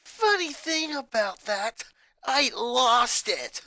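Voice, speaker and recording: An eleven-year-old boy, bitter and vengeful, lets his anger loose through gritted teeth, as a story reader puts him on. His voice swings widely between sneering sarcasm and full yelling. The recording is dry and close. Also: mid-range voice, lively intonation, slow, no room sound, voice pushed up, poor audio. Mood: sarcastic. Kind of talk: fan fiction reading.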